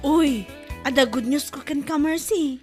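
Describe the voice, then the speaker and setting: A woman with an Ilocano accent playing a gossipy, excitable beauty parlor owner in a comedy drama. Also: high-pitched, a little slow, music in the background. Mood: teasing gossip.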